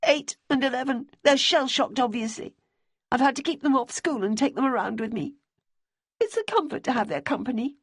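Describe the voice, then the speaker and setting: Voiced by a woman narrator, this is a high-pitched, anxious young widow from a storybook, urgent and pleading. Her breathy voice sits close and dry, with no room around it. She swings up and down as she pushes her case. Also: expressive, moderate pace, dry recording, heavily acted.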